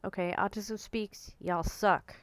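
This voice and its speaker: A woman in her forties talking casually to camera in a blunt, outspoken way, her voice a little croaky and hoarse. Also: mid-range voice, fairly even intonation, takes it slowly, no room sound. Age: 40s. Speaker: vlogger.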